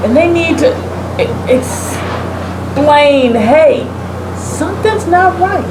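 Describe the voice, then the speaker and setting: A woman's voice: high voice, animated, takes it slowly. A woman host giving opinionated commentary in conversation, in an emphatic, preacherly manner full of rhetorical questions. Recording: roomy echo, clear air-conditioning-like background noise.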